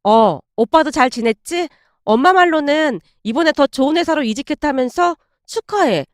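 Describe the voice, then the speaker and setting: A bashful young woman with a high voice, acted by a female narrator. She is courteous and considerate, speaking in a storytelling drama. The sound is dry. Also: animated, normal speed, dry recording. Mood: shy, polite.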